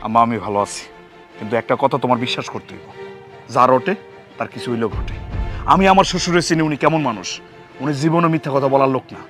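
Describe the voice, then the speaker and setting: Actor as an earnest, quick-talking young husband. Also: male voice, medium pitch, lively intonation, fairly bright tone, faint music behind, close to an everyday voice. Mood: concerned, firm. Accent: rural Bangladeshi. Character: earnest young husband back from working abroad. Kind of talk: Bangla TV drama acting.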